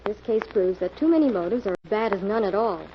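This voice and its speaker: Woman acting a brisk radio sleuth's assistant, quick talker, muffled noisy vintage recording. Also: medium pitch, animated, natural voice. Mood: keen, puzzled. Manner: crisp, inquisitive. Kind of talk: 1940s radio mystery acting.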